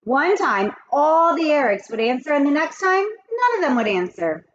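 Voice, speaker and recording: A woman teacher reading a chapter aloud to children, expressive and talking to the kids directly between passages. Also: high voice, normal speed, highs cut off, slight background noise. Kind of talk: children's read-aloud with asides.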